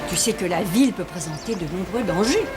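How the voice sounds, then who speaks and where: A woman playing a kind, helpful young city lady in a cartoon, gentle and reassuring. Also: lowish voice, lively intonation, fairly quick, loud music behind the voice. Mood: kindly. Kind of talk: animated cartoon dialogue.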